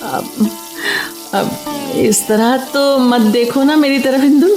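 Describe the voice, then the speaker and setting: A high-voiced, chatty housewife. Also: female voice, takes it slowly. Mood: chatty, plaintive. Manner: expressive, chatty, coaxing. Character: talkative, friendly, lonely housewife, doctor's wife. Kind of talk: Hindi radio play acting. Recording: roomy studio radio play with music.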